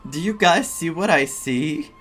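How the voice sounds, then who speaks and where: Playthrough streamer: middling-pitched voice, informal and reactive, chatting casually with faint game music behind. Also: lively intonation, a little slow, a bit bright. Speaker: streamer playing through a story game. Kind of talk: casual playthrough commentary, reading game dialogue aloud.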